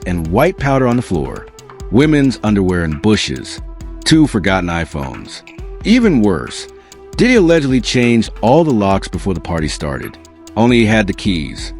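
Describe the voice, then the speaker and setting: Deep, lively male gossip narrator over music. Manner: dramatic, punchy storytelling. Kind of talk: scripted gossip video narration. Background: background music.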